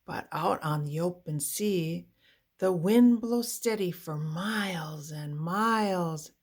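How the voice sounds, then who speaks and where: Slow-paced woman reading a children's storybook. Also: on the low side, a little mellow. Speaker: picture book reader. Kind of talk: read-aloud children's storybook narration.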